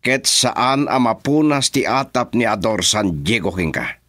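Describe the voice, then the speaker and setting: Guy acting a bossy gruff boss man in ilocano radio serial, lowish voice, very dry sound. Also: sing-song, unhurried, fairly bright tone, no echo, full character voice. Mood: brisk, bossy. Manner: brusque, domineering, scolding. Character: gruff, bossy male political operator barking at his driver.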